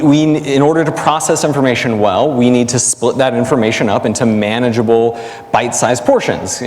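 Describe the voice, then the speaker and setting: A male academic answers interview questions in a big reverberant space. His voice sits at an average pitch, and he works through explanations aloud, leaning on everyday examples like a teacher with students. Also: darkish tone. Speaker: academic guest, biblical language scholar and teacher. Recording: echoey hall, long reverb.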